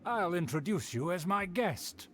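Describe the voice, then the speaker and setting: An actor playing a haughty young male aristocrat who speaks in a formal, pompous, drawn-out way, heard as game audio. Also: high voice, sing-song, over music. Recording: game audio with clear noise.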